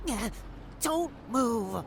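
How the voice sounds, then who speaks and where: An actress playing a nervy, threatening street mugger in an audio drama, edgy and stammering. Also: female voice, on the high side, lively intonation, extremely slow, gravelly, background noise, exaggerated voice. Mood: menacing. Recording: music behind the voice.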